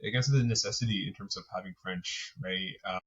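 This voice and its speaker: Male guest from Montreal, very deep, even delivery, casual and fluent, slightly muffled recording. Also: fairly quick, slightly rough. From Montreal, Quebec. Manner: fluent, casual, uses fillers like "I guess" and "right". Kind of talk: casual interview.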